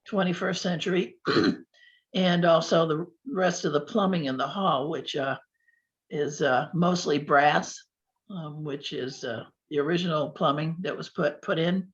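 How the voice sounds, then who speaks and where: A woman on a committee who is losing her voice, reporting at a meeting with many fillers and throat-clearing. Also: low voice, a little slow. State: hoarse, losing voice. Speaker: committee member. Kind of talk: meeting discussion. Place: public meeting room. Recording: dull lo-fi meeting recording.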